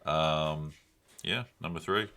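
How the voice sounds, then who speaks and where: A man co-hosting a podcast, chatting casually in an informal, slangy and halting way. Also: rumbling low, bright, forceful tone. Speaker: podcast co-host. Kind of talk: casual pop culture podcast chat.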